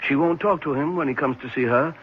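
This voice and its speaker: A man playing a thoughtful, assured psychiatrist in a 1970s radio drama, explaining things in a measured, reflective way with some hesitation. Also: fairly high pitch, close to an everyday voice. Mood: grave. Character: thoughtful, assured male psychiatrist at a private clinic. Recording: muffled, noisy 1970s radio recording.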